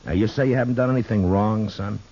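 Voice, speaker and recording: An actor playing a firm, patient frontier marshal with an American accent in a 1950s radio Western, authoritative, dry and measured. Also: male voice, lowish voice, warm tone, husky. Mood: patient, easing. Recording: muffled, hissy 1950s radio recording.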